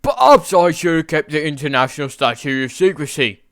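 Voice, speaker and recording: Man doing an irritable Englishman, smooth and raised in pitch. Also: very animated, brisk, a bit bright, no room sound, clean studio sound. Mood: weary grumbling. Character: irritable, sputtering English man, personified country.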